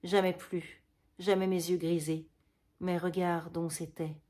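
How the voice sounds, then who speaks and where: A woman voicing an aging wounded male poet, tender and proud, who speaks softly and faintly, as if dying. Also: fairly low pitch, little pitch movement, unhurried, natural voice. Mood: hushed, tender. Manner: soft, hushed and dying.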